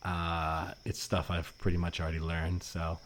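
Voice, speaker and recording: A man playing an earnest, literal-minded seeker in improvised comedy, speaking in a halting, deadpan way. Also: deep voice, slight background noise. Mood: self-assured, earnest.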